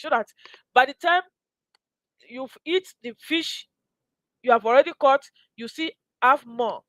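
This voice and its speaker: A woman's voice: fairly high pitch, dry recording, low-quality recording. A woman with a Nigerian accent hosting a promotional live session, earnest and exhortatory, repeating phrases for emphasis. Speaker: host, mentor. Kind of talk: promotional live pitch to viewers.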